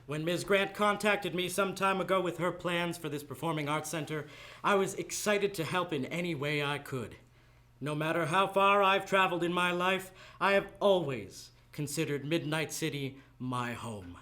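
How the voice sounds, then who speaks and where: A man's voice: fairly high pitch, moderate pace, sharp tone. A man playing a charming, earnest star actor and philanthropist in a live radio drama, courteous in manner. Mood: gracious, earnest. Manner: earnest, courteous, smooth-talking. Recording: noisy live studio stage recording.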